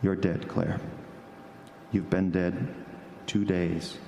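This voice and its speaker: A man acting an anguished, guilt-ridden character unraveling in horror, in a halting, repetitive old-time radio monologue style. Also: low-pitched, level tone, creaky, warm tone, lo-fi sound, heavily acted. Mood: horrified. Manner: halting, stammering, repetitive dramatic delivery. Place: stage before a live audience. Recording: very noisy, echoey live stage recording.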